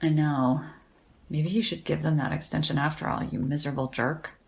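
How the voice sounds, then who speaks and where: An actress playing a blunt, sardonic woman academic in an audio drama, with a dry, deadpan, sweary delivery. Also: low-pitched, moderate pace, creaky.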